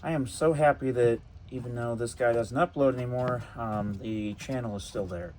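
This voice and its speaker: A man's voice: mid-range voice, normal speed, a little mellow, a little hiss or hum. A man hosting a video, giving casual, off-the-cuff commentary on audio clips. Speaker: video host.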